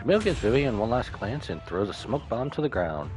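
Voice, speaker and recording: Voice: medium pitch, sing-song, fairly quick, a little mellow, faint music behind. A streamer reacting live to a game, reading bits aloud and commenting in a casual, musing way.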